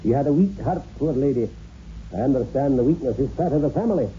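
An actor plays a suave male doctor in a radio chiller. His voice is very dark in timbre yet swoops expressively, with courteous, speculative phrasing. The old recording is dull and faintly hissy. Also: mid-range voice, expressive, fast talker, natural voice. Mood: concerned. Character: urbane, speculative male village doctor, a bachelor. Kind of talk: 1960s radio horror acting.

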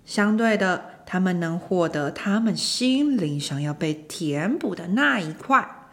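A woman's voice: lowish voice, animated, a little slow. Lady doing a chatty storybook dwarf, knows it all, teasing, voice bounces around a lot. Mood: explaining, persuasive. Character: chatty, knowing little dwarf guide, gently teasing. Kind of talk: children's audio storybook acting.

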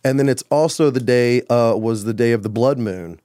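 Brief: laid-back male musician telling stories, meandering delivery, mid-pitched voice, dry close sound. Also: normal speed, warm tone, no room sound. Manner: rambling, loose, full of "like" and "you know". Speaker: musician guest. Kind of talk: casual conversation.

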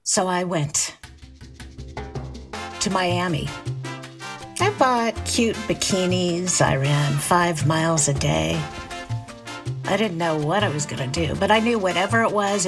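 A woman's voice: on the low side, a little slow, long pauses, over music. An actress playing a fed-up divorced businesswoman in an audio drama, candid and conversational as she tells her own story. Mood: reflective, wry. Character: fed-up divorced businesswoman, wounded, wry, breaking loose. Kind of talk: audio drama acting and confessional first-person narration.

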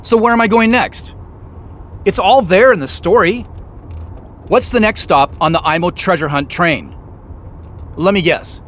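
A man's voice: sits high, a little slow, pauses often, a bit bright, a little hiss or hum. A man acting in an audio drama as a stressed, suspicious, sarcastic man on the run. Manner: sarcastic, agitated. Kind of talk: audio drama acting with first-person narration.

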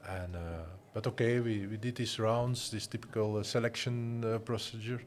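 A man's voice: medium pitch, little pitch movement, moderate pace, soft background music. A man in his forties with a Flemish accent, a Dutch speaker talking about his career in an interview, hesitant and full of restarts. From Flanders, Belgium. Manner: hesitant, many restarts and fillers. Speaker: guest, design school director and musician. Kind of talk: casual interview talk.

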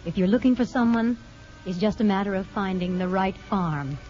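Actress as composed female narrator, medium pitch, scripted radio storytelling, dull recording, faint music. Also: normal speed, dark tone, natural voice. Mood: wry, storytelling.

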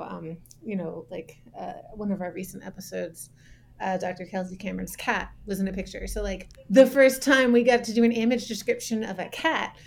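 A woman's voice: mid-range voice, vocal fry, a little mellow. A woman co-hosting a podcast, chatting casually with many fillers. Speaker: podcast co-host.

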